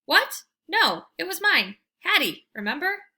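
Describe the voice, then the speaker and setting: A woman voicing an excitable, devoted male pet dog in an eager, exclamatory storybook read-aloud. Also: very high-pitched, a little slow, pitched above the performer's own voice, heavily acted. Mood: indignant, insistent.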